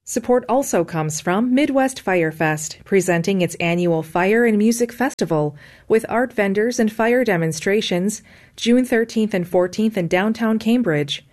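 A woman announcing a sponsor message for a public radio station in a clear, scripted delivery. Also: mid-range voice, measured pace, a little mellow.

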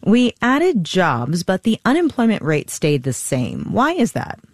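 A woman anchoring a radio business news show, reading the news and interviewing a guest live in a crisp newsreader manner. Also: medium pitch, a little slow, dry recording, clean studio sound. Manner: crisp, lively newsreader delivery. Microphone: studio microphone.

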